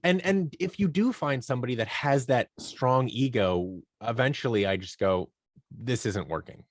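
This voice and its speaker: A man's voice: mid-range voice, normal speed, no echo, studio quality. A man talking casually as a podcast guest, informal and given to acting out little quoted remarks. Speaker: podcast guest, audio drama producer. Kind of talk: casual conversational interview answers.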